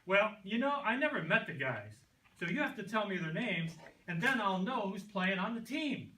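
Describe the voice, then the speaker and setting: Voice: medium pitch, sing-song, normal speed, sharp tone. An amateur reader playing a baffled, exasperated ballplayer, the questioning comic foil in an old-time radio routine. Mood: curious. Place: meeting room. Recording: roomy, distant mic.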